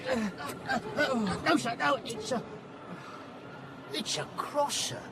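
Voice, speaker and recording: An actor playing an eager, hesitant, deferential police sergeant in a radio play. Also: male voice, very high-pitched, big pitch swings, moderate pace, over a noisy crowd, heavy background noise. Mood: nervous. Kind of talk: radio drama acting.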